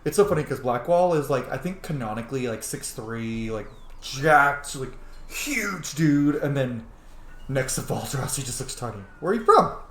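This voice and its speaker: A man's voice: medium pitch, lively intonation, moderate pace, fairly bright tone. A man hosting a gaming series with casual, informal commentary and rambling asides. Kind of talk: casual let's-play commentary. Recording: echoey room, faint noise.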